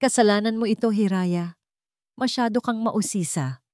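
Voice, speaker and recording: A woman's voice: medium pitch, moderate pace, darkish tone, dry recording, pitched above the performer's own voice, natural voice. Cold rich husband in an audio drama, read by a woman: controlling, scornful, menacing, in a close dry recording. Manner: heavy, emotionless, scornful words. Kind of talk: dramatic Tagalog storytelling.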